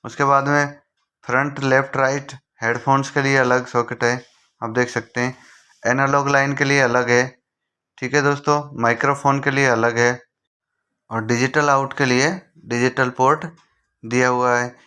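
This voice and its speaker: A man's voice: mid-range voice, flat delivery, a little slow, bright, forceful tone, smooth. A man with an Indian accent giving an informal technical tutorial, rephrasing his points and checking in with listeners as he explains. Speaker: technical instructor.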